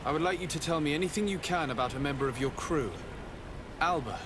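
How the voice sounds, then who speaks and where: A man's voice: a little high, fast, noisy background, natural voice. An actor voicing a composed, courteous nobleman diplomat in a video game, polite and formal.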